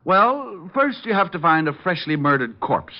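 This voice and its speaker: An actor playing a weary, sardonic private detective in an old radio show, dry and flippant. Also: male voice, high-pitched, big pitch swings, normal speed. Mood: exasperated, flippant. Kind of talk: 1940s radio detective acting. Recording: dull old radio recording.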